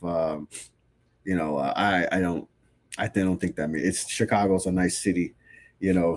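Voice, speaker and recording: A man in his thirties with a somewhat low voice hosts a relaxed live-stream sports show. He sounds stuffy from a cold. He talks loosely, answering his chat and often restarting his sentences. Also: slight background noise. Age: 30s. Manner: loose and conversational, frequent restarts. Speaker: podcast host.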